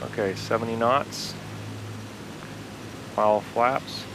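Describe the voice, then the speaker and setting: A man hosting a flight simulator video, calmly talking through each step aloud as he flies from a home cockpit, speaking into an aviation headset. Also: medium pitch, takes it slowly, creaky, noisy background. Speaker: flight sim video host. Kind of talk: casual instructional narration while flying.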